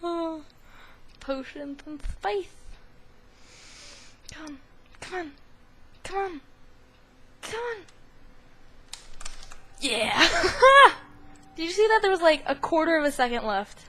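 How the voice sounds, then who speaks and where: A woman's voice: very high voice, big pitch swings, slow, long pauses, noisy background. A woman doing casual, rambling gameplay commentary in a playful, giggly way as the host of a gaming video. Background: game sound.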